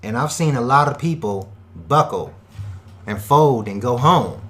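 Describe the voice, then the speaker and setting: A man hosting an online radio show, talking in an energetic, slangy hype style with catchphrases. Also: mid-range voice, measured pace. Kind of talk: hype radio host patter.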